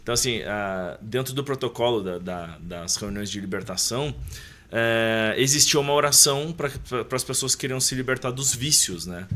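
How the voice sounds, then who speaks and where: A man in his thirties speaks as a podcast guest, with a bright, forward voice at an average pitch. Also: animated, normal speed. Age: 30s. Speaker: interview guest. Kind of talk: conversational podcast storytelling.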